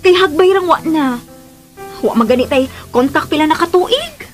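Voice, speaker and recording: A woman's voice: high voice, animated, normal speed. An actress playing a curt, heartbroken young woman in a radio drama, plaintive, with a Filipino accent. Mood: curt, casual. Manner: emotional, plaintive. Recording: music under the voice.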